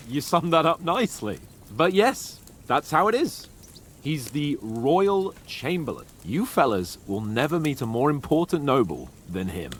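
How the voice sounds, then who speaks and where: A man's voice: a little high, big pitch swings, a little slow, fairly bright tone, slight background noise. A man playing a good-humoured senior retainer who leads his fellows in a medieval video game. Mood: explaining.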